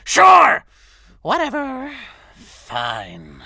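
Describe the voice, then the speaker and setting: A performer playing a small, frustrated, theatrical schemer villain in an audio drama, with gravelly, melodramatic outbursts. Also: sits high, huge pitch swings, very slow, pushed and bright, no echo, clean studio sound, heavily acted. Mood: grudging.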